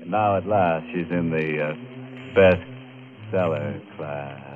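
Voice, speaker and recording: A man's voice: low voice, slow, a little hiss or hum. A man playing a gleefully macabre horror host in a 1950s radio show, delivering morbid puns with mock solemnity. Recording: muffled old radio recording, faint music.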